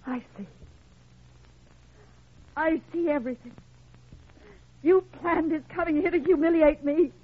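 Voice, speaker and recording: An actress playing an anguished, humiliated young woman patient in a 1940s radio melodrama, shrill, accusing and crying. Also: unhurried, pauses often, a little hiss or hum. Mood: sobbing fury. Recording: muffled old radio recording.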